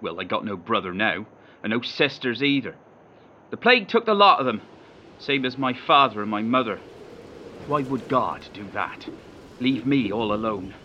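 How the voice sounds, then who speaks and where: An actor playing a bitter, defensive young street pimp in an audio drama, rough and aggrieved in his speech. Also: medium pitch, expressive, moderate pace, pushed and bright, lo-fi sound, slight background noise. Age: young adult.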